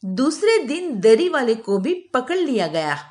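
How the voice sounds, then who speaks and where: Slow, high-voiced woman storyteller. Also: big pitch swings, darkish tone. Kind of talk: scripted narration of children's moral story.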